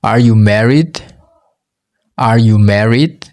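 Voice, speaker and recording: Slow, low-voiced man with a Mizo accent. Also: a little mellow. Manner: says each phrase twice, slowly and deliberately. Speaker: English teacher. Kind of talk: English lesson for learners.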